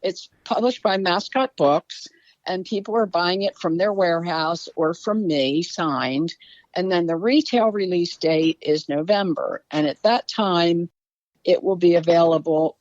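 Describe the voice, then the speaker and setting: A woman's voice: fairly low pitch, measured pace, dry recording, dull audio. An older woman with a Baltimore accent, chatting as a guest author on a podcast. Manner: chatty, warm storyteller. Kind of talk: casual podcast conversation.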